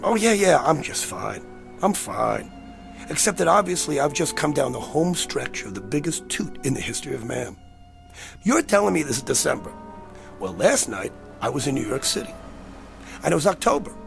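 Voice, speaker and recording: An actor playing a brash, rattled, sarcastic man in a radio drama, with a gravelly voice and stammering wisecracks. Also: on the high side, very animated, normal speed, over music, heavily acted. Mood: incredulous. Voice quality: gravelly, creaky. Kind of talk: naturalistic radio drama acting.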